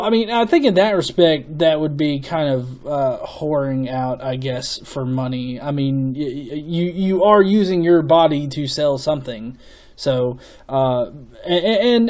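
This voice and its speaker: A man's voice: high voice, a little mellow. A young man hosting a casual commentary on viewer comments, rambling and swearing with many stuttered restarts. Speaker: host.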